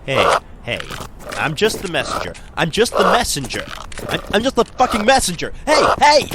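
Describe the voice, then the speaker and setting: A man's voice: sits high, wildly swooping pitch, moderate pace, very noisy. An actor playing a cocky male thug who delivers a threat as a messenger. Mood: panicky. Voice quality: cocky, then panicky.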